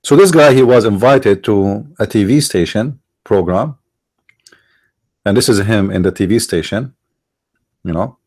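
A middle-aged man with an Arabic accent hosting a commentary monologue, halting, restarting words and stressing his points. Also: lowish voice, darkish tone. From Middle East. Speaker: online show host.

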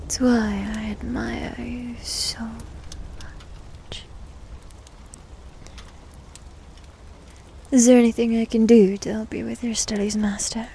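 A woman playing a needy, teasing, doting succubus demon servant in an intimate ASMR roleplay, breathy and mostly whispered, close to the microphone. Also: on the high side, level tone, noisy background. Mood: admiring, coy. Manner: coy, teasing, slow and drawn-out.

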